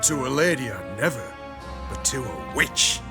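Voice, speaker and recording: A man's voice: mid-range voice, moderate pace, over music. A man playing a brash, sardonic, foul-mouthed captive in a video game. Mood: sneering defiance. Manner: sneering, sardonic, excitable.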